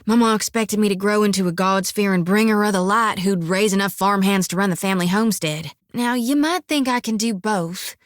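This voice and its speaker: A woman's voice: medium pitch, a little fast, few pauses, no room sound, studio quality, natural voice. An actress voicing an earnest, devout young farm-raised woman priest, folksy and stubborn. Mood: resolute. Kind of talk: video game voice acting. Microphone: dry studio mic.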